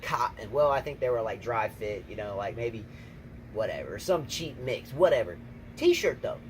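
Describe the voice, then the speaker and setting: A man co-hosting a casual tennis chat outdoors, emphatic and repetitive, talking excitedly. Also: mid-range voice, normal speed. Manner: emphatic, repeats himself, excitable. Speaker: tennis channel co-host, coach. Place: outdoors, windy. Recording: noisy outdoor recording, wind.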